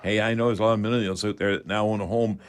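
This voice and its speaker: A man's voice: fairly low pitch, steady tone, racing, clear, resonant tone. An older man with a Canadian accent hosting a chatty radio call-in show in a folksy, rambling manner.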